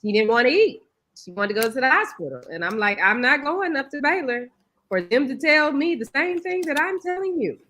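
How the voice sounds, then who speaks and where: A woman's voice: high voice, brisk. A woman co-hosting a morning talk show, telling a story and acting out the dialogue. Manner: animated storyteller, acts out dialogue. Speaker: show co-host. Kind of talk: casual storytelling chat.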